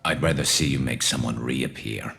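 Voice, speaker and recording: An actor playing a cool, grimly determined alien vigilante in a video game, with a dry, menacing way of understating things. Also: male voice, moderate pace, fairly bright tone, full character voice. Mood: quietly menacing. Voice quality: very deep, gravelly.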